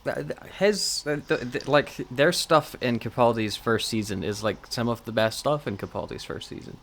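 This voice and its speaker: A man's voice: mid-range voice, normal speed, fairly bright tone, a little hiss or hum. A man co-hosting a casual podcast, talking in a rambling, scatterbrained way and swearing freely. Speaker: podcast co-host.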